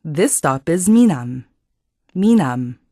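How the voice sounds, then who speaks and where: Lady reading train announcements, super slow and deliberate, lower voice, swoopy intonation. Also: big pitch swings, warm tone, no room sound, clean studio sound. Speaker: subway announcer. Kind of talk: formal scripted transit announcement.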